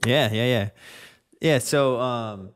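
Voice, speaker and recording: A man's voice: medium pitch, takes it slowly, slight background noise. A man hosting a podcast, talking loosely with many fillers as he leads a casual interview. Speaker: podcast host.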